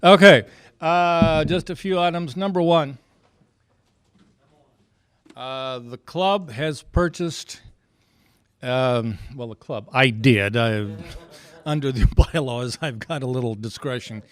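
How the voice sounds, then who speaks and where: Halting, clear-voiced man chairing a meeting. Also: medium pitch. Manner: halting, folksy, informal. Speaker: club president chairing a meeting. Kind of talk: informal spoken announcements to club members. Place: club meeting room.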